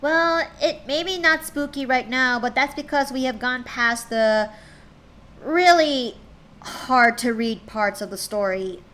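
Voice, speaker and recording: A woman's voice: high-pitched, slow pace, fairly bright tone. Chatty woman streamer reading aloud. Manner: chatty, stumbling over words while reading.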